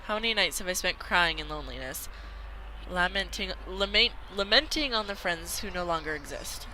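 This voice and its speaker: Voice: mid-range voice, expressive, moderate pace, pushed and bright, soft background music, low-quality recording. An amateur video game player reading a visual novel aloud dramatically, sometimes stumbling and repeating words.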